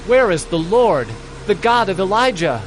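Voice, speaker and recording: A man's voice: high-pitched, sing-song, normal speed, slight background noise. A man playing a solemn, devoted prophet of ancient Israel in a dramatized scripture production, declaiming with fervor and deliberation. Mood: calling out. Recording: background music under the voice.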